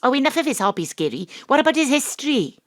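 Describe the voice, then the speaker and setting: A woman's voice: high-pitched, very animated, fast talker. A woman with a Welsh accent playing a brash, independent investigator, narrating an audio drama in a dry, blunt manner. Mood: impatient. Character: wry, brash, independent-minded female investigator narrating her case.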